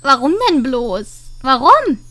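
A woman's voice: sits high, very animated, takes it slowly, soft background music. A woman with a cold, chatting casually and joking as she plays through an adventure game. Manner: chatty, joking, thinks out loud. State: has a cold. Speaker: let's play host. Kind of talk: casual gameplay commentary.

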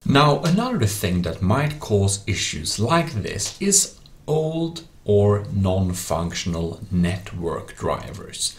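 A man giving a plain-spoken, step-by-step software tutorial as a voice-over. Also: fairly low pitch, sing-song, slow pace. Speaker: tutorial presenter. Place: voice-over screen recording.

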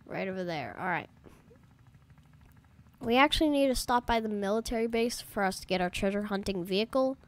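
A woman's voice: mid-range voice, expressive, a little fast, pauses often. A woman gaming YouTuber giving casual, rambling let's-play commentary, talking straight to her viewers, her voice a little off from allergies. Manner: chatty, rambling, talks to viewers as "guys". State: slightly off voice from allergies.

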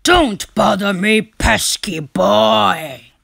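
A woman voicing a grumpy, reluctant male monster from a picture book, muttering threats in a deliberate grumble. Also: medium pitch, creaky, a bit bright, no room sound, studio quality, voice pushed up, heavily acted. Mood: threatening, fed up. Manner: grumbling, deliberate, whispers and bellows.

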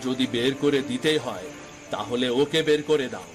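A man's voice: mid-range voice, expressive, moderate pace, lightly acted. A man playing an emphatic, melodramatic village man in a cartoon folk tale. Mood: angry, reproachful. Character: stern, scolding village husband and pleading chief in a folk tale. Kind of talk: Bengali cartoon fairy-tale voice acting. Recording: music behind the voice. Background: background music.

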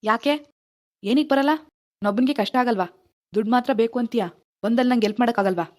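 Harried young husband, acted by a woman: very quick delivery, plaintive, easily swayed, family drama. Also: fairly high pitch, a little mellow, voice pushed down, close to an everyday voice. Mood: annoyed. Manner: pleading, complaining, quick to give in. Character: put-upon young husband running a small tea stall. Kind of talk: amateur domestic drama acting.

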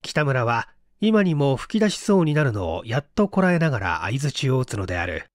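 Animated male literary narrator. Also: mid-range voice, fairly quick, dry recording, studio quality. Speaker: audiobook reader, stage actor.

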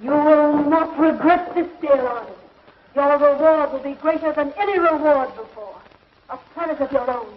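An actress playing a mad, power-hungry villainess in a 1950s TV serial, theatrical and deliberate, coaxing and menacing. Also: female voice, high-pitched, soft background music, lightly acted. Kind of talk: 1950s television serial acting. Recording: dull, lo-fi 1950s television soundtrack.

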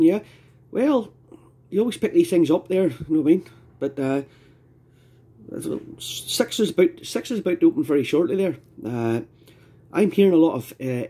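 A man's voice: a little high, warm tone, husky. A Scottish man chatting casually as an amateur radio operator, rambling and repeating his phrases. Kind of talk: casual ham radio chat.

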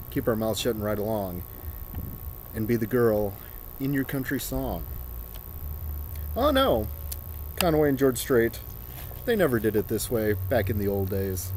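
Mellow man giving a theatrical dramatic reading. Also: mid-range voice. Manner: theatrical, drawn-out phrases with deliberate pauses. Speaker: performer. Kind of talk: mock-dramatic reading of song lyrics. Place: outdoors in a snowy residential yard. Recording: noisy outdoor camera recording.

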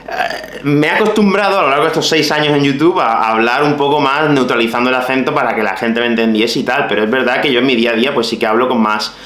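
A man in his thirties from Málaga, with a softened Andalusian accent, explaining things to the camera for language learners in an animated, direct way. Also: mid-range voice, fast, reverberant. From Málaga, Spain. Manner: animated, gestures with his hands, addresses viewers directly. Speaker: Spanish teacher. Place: small room at home.

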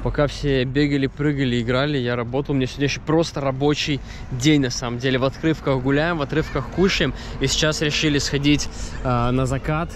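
A man's voice: mid-range voice, steady tone, brisk. A man in his thirties chatting casually as he walks, filming himself with a camera at arm's length outdoors by a seaside harbor. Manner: chatty, rambling, talks while walking. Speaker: travel vlogger. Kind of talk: casual walking vlog. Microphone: camera held at arm's length. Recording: outdoor wind and street noise.

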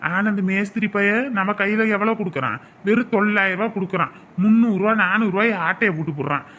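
Actor as scheming high-pitched house painter. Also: male voice, quick pace, pushed and bright. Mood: aggrieved, scheming. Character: scheming, aggrieved male house painter plotting side work. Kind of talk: film or serial drama dialogue. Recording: dull lo-fi sound, faint noise.

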